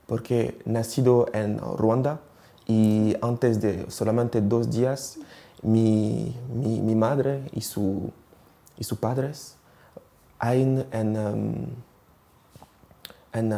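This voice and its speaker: Gravelly young man, halting speech. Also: on the low side, steady tone, warm tone. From Rwanda. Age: 20s. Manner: hesitant, searching for words. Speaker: interviewee. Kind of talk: personal interview, switching languages.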